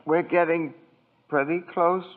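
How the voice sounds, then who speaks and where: Male actor as eager innocent angel, slow drawn-out speech, wondering, deferential, thin muffled 1940s broadcast sound. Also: a little high, fairly even intonation, lightly acted. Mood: concerned. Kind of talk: 1940s radio acting.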